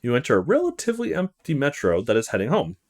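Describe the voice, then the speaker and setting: A man reads visual novel text aloud in an expressive let's play style. His pitch sits in the middle for a male voice and swings very widely from phrase to phrase. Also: fast talker. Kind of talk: expressive reading of game text.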